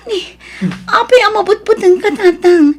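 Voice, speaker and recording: A woman's voice: extremely high pitch, a little slow. A woman playing a puzzled, frightened child in an Ilocano audio drama. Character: young child, puzzled and scared by ailing father. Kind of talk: Ilocano radio-style drama acting.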